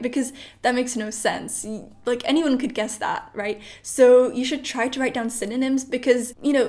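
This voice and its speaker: A young woman in her late teens, a native Polish speaker, talks through exam tips in a relaxed vlog style. Her voice sits a little high, and her speech is peppered with "like" and "you know". She is filmed in her bedroom by a camera set up in front of her. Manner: chatty, lots of "like" and "you know". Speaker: study vlogger. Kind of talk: casual advice vlog. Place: bedroom at home.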